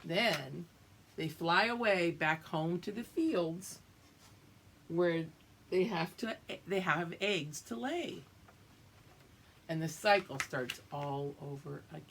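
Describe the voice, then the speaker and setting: A woman's voice: on the low side, expressive, takes it slowly, pauses often, a bit bright, a little hiss or hum. An older woman teaching young children, explaining things simply and checking that they follow along. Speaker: kindergarten or preschool teacher. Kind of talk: read-aloud lesson for young children.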